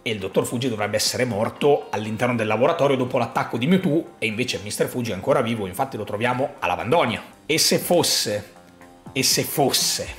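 A man's voice: medium pitch, animated, fairly quick. A man, explaining a theory to camera in an emphatic, suspenseful way, repeating phrases for effect. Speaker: YouTube host. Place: small home room, indoors. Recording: roomy echo. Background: background music.

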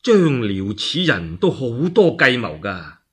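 Man acting low, wary, prudent advisor. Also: sing-song, slightly rough, heavily acted. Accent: Cantonese. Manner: slow, low, cautioning.

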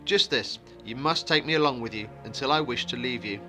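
A man voicing a calm, secretive and wary wandering stranger, with a brisk, commanding and ominous way of talking, as in a fantasy storybook. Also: medium pitch, faint music behind. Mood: sly, bargaining.

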